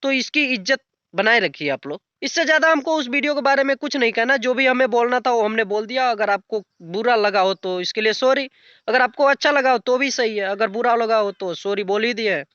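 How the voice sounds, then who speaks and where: A man's voice: extremely high pitch, brisk, bright, forceful tone, smooth, dry recording, lo-fi sound. A young man giving an unscripted, casual commentary rant in a blunt, colloquial way with crude slang. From rural north India. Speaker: YouTube commentator.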